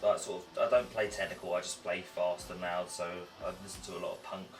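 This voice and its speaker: A man's voice: low voice, steady tone, fast talker. An English man in his twenties, a drummer, answering interview questions in an offhand, joking way. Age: 20s. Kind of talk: casual interview. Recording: echoey room, from far off, music behind.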